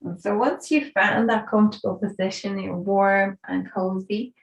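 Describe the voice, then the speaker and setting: A woman yoga instructor leading a guided relaxation in a soothing, gentle, unhurried way with pauses. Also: mid-range voice, fairly even intonation, gravelly. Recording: roomy echo, distant microphone.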